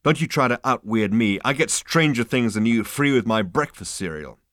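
Man doing brash, loud Zaphod, all swagger. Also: mid-range voice, lively intonation, moderate pace, no echo, studio quality, close to an everyday voice. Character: brash, swaggering hipster male alien, loud and cocky.

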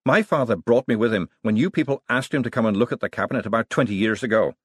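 Man voicing a brisk, short-tempered woman. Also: medium pitch, fairly bright tone, husky, no echo, clean studio sound. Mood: impatient, assertive. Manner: blunt, brisk, assertive.

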